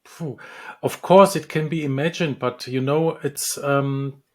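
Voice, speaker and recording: A man from the Republic, a dentist and lecturer, answering interview questions with many pauses, ums and restarts. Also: fairly high pitch, steady tone. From Czech Republic. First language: Czech.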